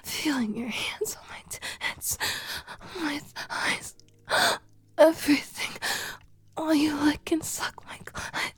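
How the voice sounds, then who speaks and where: A woman speaking in a soft, breathy whisper in an intimate audio roleplay, pleading and sleepy. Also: high-pitched, slow.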